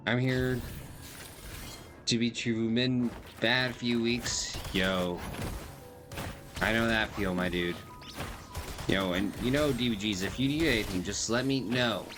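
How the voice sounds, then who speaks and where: A man's voice: mid-range voice, steady tone, slow, pauses often. A man livestreaming a game, chatting casually in slang and reading game text aloud in a laid-back way. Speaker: game streamer. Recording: faint game music behind.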